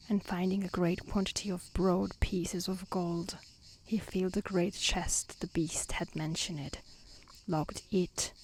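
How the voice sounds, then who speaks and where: Slow, breathy, soft-spoken woman reading a story. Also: medium pitch, low-quality recording. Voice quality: soft, breathy, near-whisper. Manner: gentle, calm, unhurried delivery. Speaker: storyteller reading a fairy tale aloud. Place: outdoors in nature.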